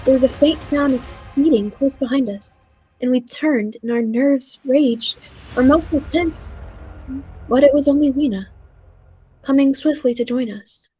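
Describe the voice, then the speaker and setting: Woman playing an earnest time traveller, very high mellow voice. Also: measured pace, breathy edge, soft background music, exaggerated voice, poor audio. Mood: tense, dread. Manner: dramatic storytelling. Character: earnest, thoughtful time traveller recounting the adventure. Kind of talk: 1940s radio play narration and acting.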